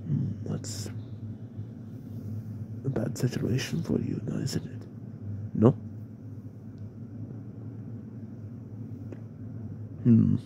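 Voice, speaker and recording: A man playing a menacing, possessive mafia boss in an ASMR roleplay, in a breathy, half-whispered murmur with slow, deliberate pauses. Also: full character voice. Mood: cold, possessive. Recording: noisy, with steady background rumble.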